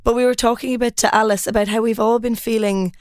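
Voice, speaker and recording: A woman's voice: a little high, quick pace, dry recording. Chatty young Irish woman, dry sound. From Ireland. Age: 20s. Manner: relaxed, chatty storytelling with filler words. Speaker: podcast guest. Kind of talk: casual chat.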